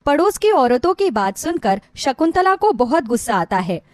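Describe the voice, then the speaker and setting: A woman narrating a scripted moral story in an expressive manner. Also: high-pitched, moderate pace, no echo, studio quality.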